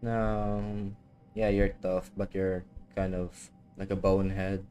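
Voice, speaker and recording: A man's voice: deep voice, flat delivery, slow pace, dark tone. A man giving casual, joking live commentary as he streams a video game. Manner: joking, offhand. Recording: game music behind the voice.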